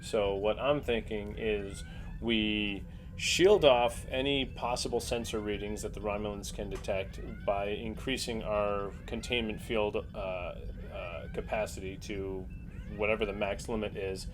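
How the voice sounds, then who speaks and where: A man with a somewhat low voice talks through strategy as a player in a tabletop game. His delivery is casual and conversational. Noticeable noise runs behind the recording. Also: fairly even intonation, unhurried. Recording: clear background noise.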